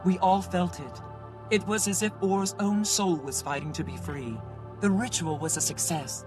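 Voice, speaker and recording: An actor playing an earnest, loyal hero commander in a video game, speaking directly and practically. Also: male voice, high voice, normal speed, natural voice. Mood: focused, encouraging. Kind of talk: video game cutscene acting. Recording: voice over loud game music.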